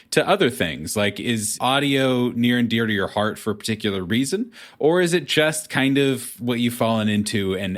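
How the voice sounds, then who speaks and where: Low-pitched male podcast interviewer. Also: fairly bright tone. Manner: long, exploratory questions with rephrasing. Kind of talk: casual interview conversation.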